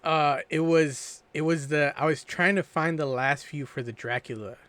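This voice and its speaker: A man's voice: on the high side, normal speed, a bit bright, no room sound. A man co-hosting a podcast, telling anecdotes casually in very informal, sometimes sweary language. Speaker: podcast co-host.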